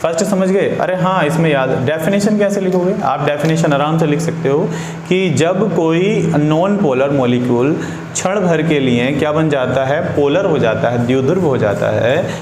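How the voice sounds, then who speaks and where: A man teaching chemistry informally, repeating key phrases, asking and answering his own questions and mixing in English technical terms. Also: on the high side. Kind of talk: informal classroom-style lesson for students. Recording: roomy echo with faint background noise.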